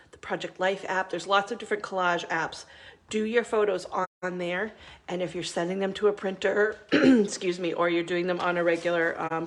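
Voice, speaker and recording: A middle-aged woman hosting a live crafting video, chatting casually with many ums while she works, still stuffy from a cold. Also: on the low side.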